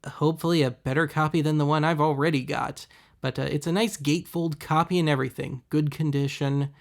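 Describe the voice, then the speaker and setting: A man hosting a web series, giving casual, deadpan, wry voice-over commentary on thrift finds. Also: a little high, a little fast, gravelly. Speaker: host of a web video series.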